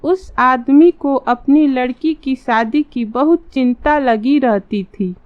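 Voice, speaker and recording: A woman's voice: sits high, takes it slowly, dull audio. A woman narrating a scripted children's story as a storyteller.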